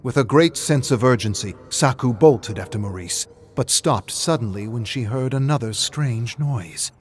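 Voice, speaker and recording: A man's voice: mid-range voice, lively intonation, moderate pace. A man reading a scripted story aloud as a narrator, with theatrical, suspenseful delivery. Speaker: narrator reading game lore.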